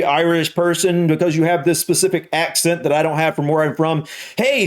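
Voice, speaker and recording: A man with a Southern US accent hosting a reaction video, chatting casually and hesitantly, often restarting and repeating his words. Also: fairly high pitch. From United States.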